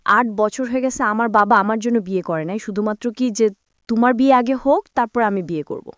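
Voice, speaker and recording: A woman's voice: a little high, fairly quick, no room sound. A young woman with a Bangladeshi accent telling her own life story conversationally, relaying remembered dialogue as she goes. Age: young adult.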